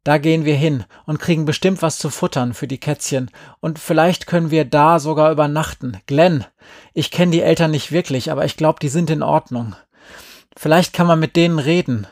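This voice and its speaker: A man's voice: fairly high pitch, normal speed, no room sound, voice pushed up, close to an everyday voice. Guy doing a feisty kid girl for a story, sarcastic and brash, dry recording. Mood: hopeful. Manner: slangy, brash, emphatic. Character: feisty young girl, defiant, sarcastic, protective, streetwise. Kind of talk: acted audiobook dialogue.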